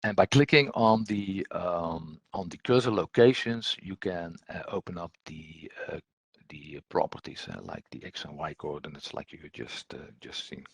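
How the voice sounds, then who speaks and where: Low, husky man giving a halting tutorial. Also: expressive, no echo. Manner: halting, hesitant delivery with repeated words. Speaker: software instructor. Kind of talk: unscripted screen-share software tutorial.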